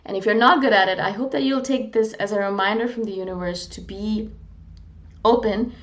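A woman's voice: medium pitch, brisk, lo-fi sound. A woman from India, talking in a candid, earnest, self-deprecating way in a casual, reflective monologue as a vlogger. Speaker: vlogger on personality types.

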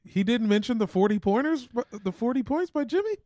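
A man's voice: very high voice, sing-song, fairly quick, mellow, no room sound, clean studio sound. An American man co-hosting a podcast with casual, chatty sports banter. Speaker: podcast co-host.

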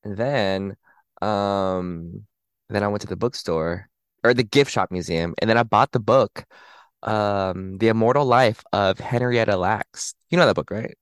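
Slightly raspy and smooth in tone, a man in his late twenties chats casually as a podcast host. He is animated and giggly, and his close, dry recording has no room sound. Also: fairly low pitch, a little slow, no room sound. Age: 20s. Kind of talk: casual chat.

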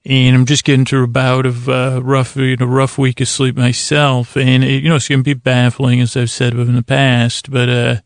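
A man hosting a bedtime podcast in a gravelly voice, rambling and halting as he improvises, drifting whimsically and trailing off mid-thought. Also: medium pitch, completely flat delivery, dry recording, studio quality. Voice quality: gravelly, creaky voice. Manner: mildly whimsical, acts out imagined exchanges. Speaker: podcast host.

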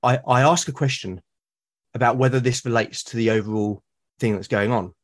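A man with a British accent, a podcast guest talking casually and at length, often pausing to rephrase his thoughts. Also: medium pitch, fairly even intonation. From Britain. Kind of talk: casual conversation about solo roleplaying.

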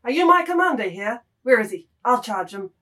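Woman playing a shrill, angry brothel madam. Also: big pitch swings, a little fast, bright, forceful tone, far from the mic. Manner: shrill, indignant, demanding. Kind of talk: dramatic table reading of literary fiction.

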